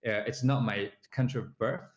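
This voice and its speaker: A man from southern China speaks English with a Chinese accent as an entrepreneur guest. He talks hesitantly, with many fillers and restarts, at a middle pitch. The room sounds echoey. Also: moderate pace, background noise. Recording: roomy sound.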